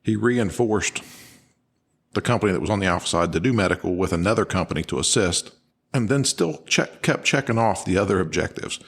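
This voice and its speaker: Deep-voiced man explaining informally. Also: animated, brisk, fairly bright tone, husky. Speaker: podcast co-host. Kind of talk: conversational analysis of firefighter radio traffic.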